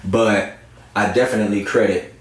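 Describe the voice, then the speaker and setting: A man's voice: fairly low pitch, steady tone, moderate pace. A man talking casually as an interview guest, a singer telling stories in slangy, conversational speech. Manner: slangy, conversational storytelling with frequent check-ins. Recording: echoey room, distant mic, faint noise.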